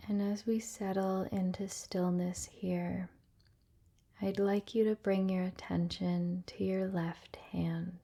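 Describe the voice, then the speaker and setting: A woman leading a guided relaxation meditation in a soft, breathy, often near-whispered voice, gentle and soothing with long pauses. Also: medium pitch, flat delivery.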